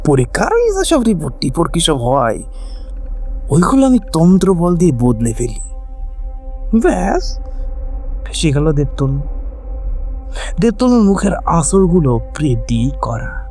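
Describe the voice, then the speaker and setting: A man's voice: on the high side, huge pitch swings, measured pace, long pauses, a little mellow, faint music behind. A man acting a ruthless, scheming occult villain in a gloating, menacing manner for an audio horror drama.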